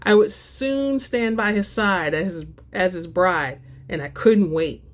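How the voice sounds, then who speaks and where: A woman with a fairly low voice hosts a book channel. She chats casually to her viewers and reads fiction aloud in a dramatic style. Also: unhurried. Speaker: host of a book-reading channel.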